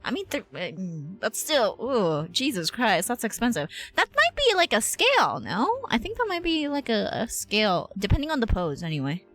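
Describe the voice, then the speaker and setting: A woman streaming a game with a fairly high, bright voice that jumps around a lot. She chats casually in slang and exclamations, swearing freely, over faint game music. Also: huge pitch swings, moderate pace, hardly any pauses. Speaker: video game livestreamer. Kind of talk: casual reaction chat while playing a game.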